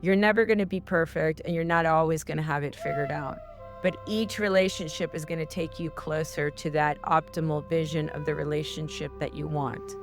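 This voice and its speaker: A woman's voice: low voice, fairly even intonation, a little fast, music in the background. A woman hosting a spiritual podcast, talking in an earnest, heartfelt coaching tone. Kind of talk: casual spiritual advice talk.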